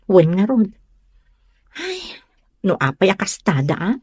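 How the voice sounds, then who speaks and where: A woman's voice: mid-range voice, huge pitch swings, slow, darkish tone, breathy edge, no echo, clean studio sound, exaggerated voice. A woman acting a sweet young woman in secret love in an Ilocano comedy drama. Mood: friendly, candid.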